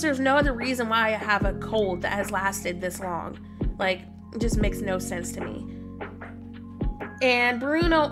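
A woman in her thirties, stuffy from a cold, chatting casually with viewers while livestreaming a game and greeting them by name. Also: on the high side. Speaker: streamer. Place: home room, indoors. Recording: music playing behind voice.

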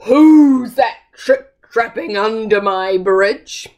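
A woman's voice: fairly high pitch, expressive, slow pace, darkish tone. Woman playing a roaring, hungry storybook troll. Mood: menacing, hungry. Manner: roaring, growling. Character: big ugly hungry bullying male troll.

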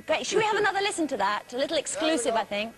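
A woman's voice: high voice, sing-song, rapid-fire, a crowd in the background. A woman presenting on television in a chatty interview style.

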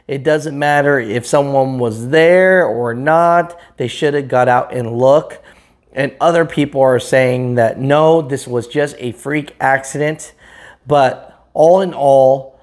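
Man, 30s, trucking vlog host, slow earnest delivery, casual to-camera talk, indoor camera mic. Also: medium pitch, darkish tone. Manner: slow, earnest, talks straight to camera with hand gestures. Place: indoors in front of a green screen.

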